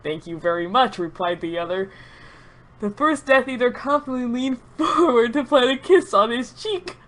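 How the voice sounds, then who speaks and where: A woman's voice: sits high, animated, normal speed, fairly bright tone. A woman reading an absurd story aloud with mock-serious drama, often breaking into giggles.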